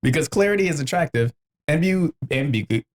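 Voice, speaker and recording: A man's voice: fairly high pitch, expressive, brisk, very noisy. An American man, around his thirties, hosting a podcast with casual, comedic banter, wry, profane and emphatic. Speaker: podcast host.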